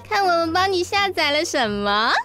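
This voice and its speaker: Radio drama kid sister: very high voice, blunt, teasing, opinionated, Taiwanese accent. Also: female voice, sing-song, moderate pace, bright, forceful tone, faint music behind, heavily acted. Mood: excited. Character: bold, cheeky young daughter with grown-up opinions. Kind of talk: Mandarin radio drama acting.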